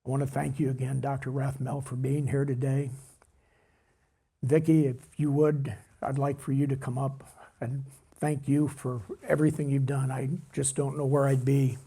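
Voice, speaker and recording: Older guy giving a heartfelt speech, husky and warm sounding, halting. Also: mid-range voice, level tone. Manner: earnest, halting, with restarts. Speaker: patient speaking at an event. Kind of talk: emotional public speech.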